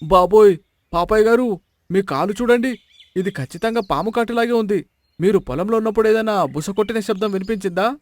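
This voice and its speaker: A man's voice: high voice, a little fast, no room sound, natural voice. A man voicing a sly, mock-solemn villager in a dramatised storybook tale. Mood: alarmed.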